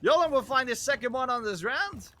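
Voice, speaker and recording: An Austrian man, a native German speaker, co-casting a live esports match in English, casual and profane, rising into loud hype calls. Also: very high-pitched, lively intonation, a little fast, slight background noise. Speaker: esports co-caster. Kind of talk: live game commentary.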